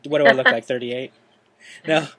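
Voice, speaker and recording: A man's voice: mid-range voice, fairly even intonation, normal speed, crowd noise. A man in his late thirties hosting a podcast interview, asking questions in a joking, rambling way. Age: late 30s. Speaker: podcast host. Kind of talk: casual interview chat.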